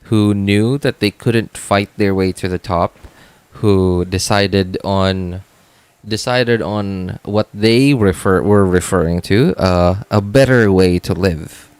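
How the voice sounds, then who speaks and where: A man's voice: low voice, takes it slowly, darkish tone, smooth, no room sound. A man chatting casually on a game livestream, thinking aloud in a rambling way and often repeating himself. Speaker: game streamer. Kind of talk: casual livestream chat while playing.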